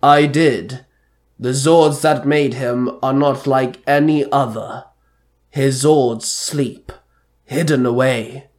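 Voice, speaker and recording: Solemn male spirit god, ancient, commanding, slow portentous pronouncements, storybook figure acted by a male reader. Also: mid-range voice, fairly even intonation, natural voice. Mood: grave, commanding. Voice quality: bellowing. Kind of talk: amateur fan-fiction story reading.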